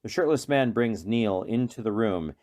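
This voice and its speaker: A man narrating a radio play from a script in a measured, deliberate, dramatic way. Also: fairly low pitch, lively intonation, a little mellow. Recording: faint music behind.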